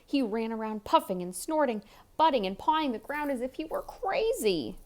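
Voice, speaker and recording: A woman's voice: on the high side, big pitch swings, moderate pace, darkish tone. A woman reading a children's story aloud expressively, with chatty asides and questions to the young listener. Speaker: picture-book reader.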